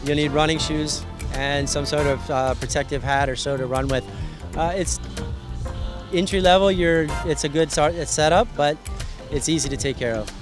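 A young man from Hawaii, a triathlon association president, explaining the sport in a relaxed, friendly way at an outdoor race. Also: a little high, normal speed. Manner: relaxed, friendly, explains in loose run-on sentences. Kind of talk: outdoor event interview. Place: outdoors at a race venue under tents. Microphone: handheld mic. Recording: background music at outdoor event.

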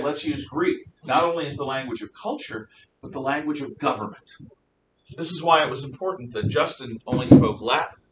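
An older American man teaches a class in an emphatic, deliberate way, repeating phrases for weight. His voice sits at a middle pitch. It is picked up from across an echoey classroom. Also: fairly bright tone. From northeastern United States, around New York City and New England. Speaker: history teacher. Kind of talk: classroom lecture. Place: classroom, indoors. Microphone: distant camera at back of room. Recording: roomy, distant microphone, noticeable hiss and rumble.

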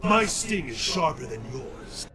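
An actor playing a vengeful, defiant undead ninja in a video game, with a gravelly, menacing growl and terse, formal threats. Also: male voice, a little high, sing-song, a little slow, heavy background noise.

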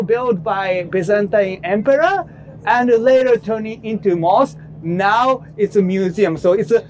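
A Japanese man in his thirties, a film director, answering interview questions outdoors by the sea in English with a Japanese accent, often pausing with fillers and restarting. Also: high voice, darkish tone, rough recording. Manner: hesitant, many fillers and restarts. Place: seaside promenade, outdoors.

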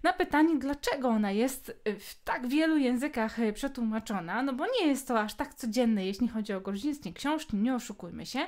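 Polish woman podcast host, chatty with lots of ums, voice on the high side. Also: expressive, fairly quick, hardly any pauses, darkish tone. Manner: chatty, many hesitation fillers. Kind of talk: casual book podcast talk.

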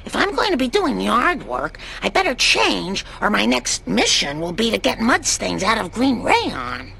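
An actress playing a worried, doting middle-aged housewife in a cartoon game, raspy and earnest. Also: female voice, fairly high pitch, very animated, measured pace, pushed and bright, exaggerated voice. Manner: earnest, fretting.

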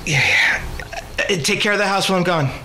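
A man's voice: high-pitched, brisk, pushed and bright, a little hiss or hum. An actor playing an affectionate middle-aged father in a radio drama. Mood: strained. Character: affectionate father, playful, then gritting through pain.

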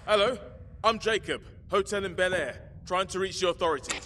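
A man's voice: high voice, brisk, sharp tone, a little hiss or hum. An actor playing a cocky, wisecracking survivor in a video game, a British man with glib, breezy bravado. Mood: flippant. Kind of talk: video game cutscene acting.

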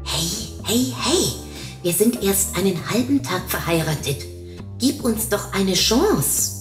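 A woman's voice: medium pitch, very animated, slow pace, voice pushed up. A woman voicing a scatterbrained, cheeky stoned newlywed in an audio short story, teasing and grumbling. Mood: insistent. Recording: roomy echo, faint music.

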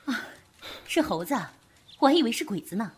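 A woman's voice: on the high side, very animated, a little fast, slightly rough, exaggerated voice. A woman playing a superstitious, jumpy, outspoken grave robber in a wartime audio drama. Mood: relieved, startled. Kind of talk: Chinese audio drama acting.

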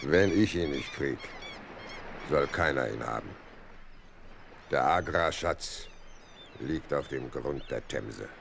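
An actor playing a bitter, spiteful captured villain in a radio play, with a gravelly voice. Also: male voice, very deep voice, huge pitch swings, slow pace, pauses often, background noise, exaggerated voice. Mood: spiteful, defiant. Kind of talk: German radio play acting.

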